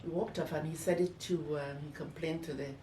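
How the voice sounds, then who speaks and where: Older woman reminiscing, lowish voice, echoey room. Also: fairly even intonation, brisk, dark tone. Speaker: interviewee, former nurse. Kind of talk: personal reminiscence in interview. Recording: echoey room, distant mic, faint noise.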